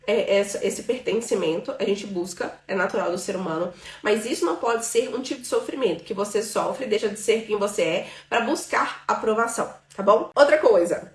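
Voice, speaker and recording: A woman's voice: medium pitch, brisk, far from the mic. Chatty Brazilian woman teaching, roomy. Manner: talkative, filler-heavy, checks in with "tá bom?" and "né?". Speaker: financial mentor teaching a live class. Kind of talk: informal live lesson. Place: room with a live audience present. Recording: roomy, from off the mic.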